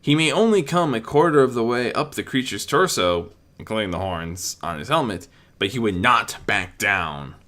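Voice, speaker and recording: A man with a bright, somewhat low voice narrates a fantasy adventure. His delivery is scripted and dramatic, that of an amateur reader who now and then trips on a word. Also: animated, normal speed. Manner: amateur reading with occasional stumbles and self-corrections. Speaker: story narrator.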